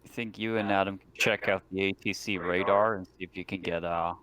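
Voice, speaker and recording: A man's voice: low-pitched, fairly even intonation, a little fast, fairly bright tone, clean tone, rough recording. A man chatting casually as a player in a tabletop roleplaying game.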